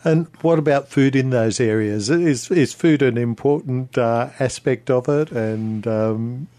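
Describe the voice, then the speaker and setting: A man hosting a radio interview, putting conversational questions in a hesitant, halting way. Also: medium pitch, dark tone.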